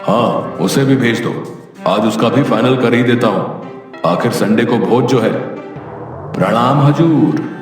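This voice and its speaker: A man's voice: mid-range voice, takes it slowly, close to an everyday voice. A man acting as a shrewd, grumbling, middle-aged miserly merchant in a cartoon story, blunt and dismissive as he haggles. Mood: haggling. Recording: echoey, distant, faint music behind.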